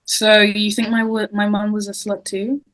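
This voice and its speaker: Wounded, guarded teenage girl played by a woman, medium pitch, for a script read. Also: flat delivery, normal speed, a bit bright, no echo, lightly acted, low-quality recording. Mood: hurt, defensive. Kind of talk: table read of a film script.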